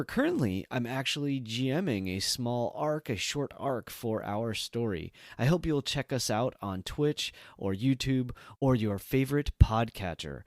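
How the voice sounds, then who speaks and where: A man's voice: medium pitch, no echo, studio quality. A man introducing himself casually as a podcast guest and tabletop player.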